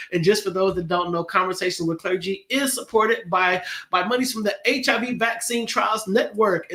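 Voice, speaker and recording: A man's voice: high-pitched, a bit bright, echoey room. Congested upbeat man in his fifties. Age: 50s. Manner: upbeat, chatty and informal. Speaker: host. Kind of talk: casual show opening.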